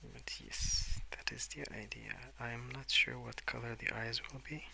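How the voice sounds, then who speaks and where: A man speaking softly and hesitantly in a rambling ASMR style, often slipping into whispers at a desk indoors. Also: medium pitch, steady tone, unhurried, creaky, very noisy. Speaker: host of a colouring ASMR channel. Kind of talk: soft-spoken rambling ASMR. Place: at a wooden desk indoors, with pencil sounds.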